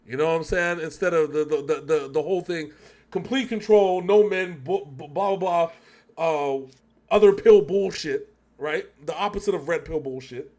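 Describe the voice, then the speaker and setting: High-voiced man rambling emphatically. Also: normal speed, darkish tone. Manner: rambling, emphatic, repeats himself, swears freely. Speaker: reaction show co-host. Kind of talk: casual music video reaction commentary.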